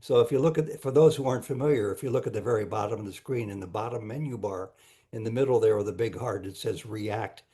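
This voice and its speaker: A man's voice: lowish voice, fast, dark tone. A man hosting an online meeting, speaking informally and politely as he asks questions and guides participants.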